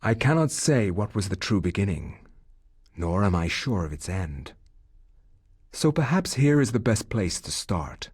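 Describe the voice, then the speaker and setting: An actor playing a grave, reflective scholar who narrates a video game story in a measured, solemn, literary way. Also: male voice, on the low side, very animated, a little mellow, husky, dry recording, full character voice. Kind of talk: scripted dramatic game narration.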